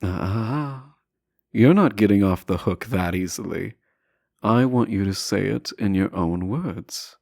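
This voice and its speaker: A man acting as a smug, self-assured royal prince in a romantic audio drama. His voice is very low and close, recorded dry, and he takes his time over each courtly, teasing phrase. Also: takes it slowly, vocal fry, a little mellow, dry recording. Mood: smug, coaxing. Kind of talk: romantic audio roleplay acting, addressing the listener.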